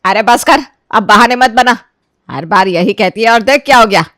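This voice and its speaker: A woman acts out a domineering middle-aged mother-in-law from a cartoon tale. She nags and accuses in a sharp, theatrical way, pushing her voice up, with a close, dry sound. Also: on the high side, expressive, normal speed, no room sound. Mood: furious. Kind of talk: cartoon moral-story voice acting.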